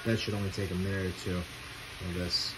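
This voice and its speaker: A young man with a very low voice talks through his cooking in a home kitchen, loose and rambling, full of ums and "you know". The camera catches him from a distance over steady background noise. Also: brisk. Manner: relaxed, rambling, many fillers. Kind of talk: casual cooking commentary.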